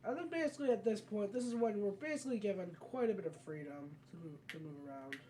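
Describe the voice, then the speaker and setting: Let's play host, casual conversational commentary, rambling, mid-range pitch, dark mellow tone, slight background noise from a home recording. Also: fast.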